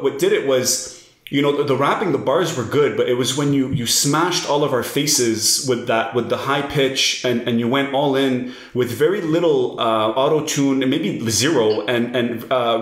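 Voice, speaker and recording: Enthusiastic young man hosting, echoey room. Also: medium pitch. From Lebanon. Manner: enthusiastic, uses "bro", quick bursts. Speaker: host. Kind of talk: casual interview.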